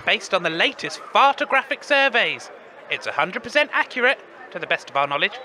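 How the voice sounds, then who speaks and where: A man's voice: high voice, big pitch swings, a little fast, pushed and bright, full character voice. A man voicing a shifty, unabashed wasteland map seller with breezy, stubborn sales talk.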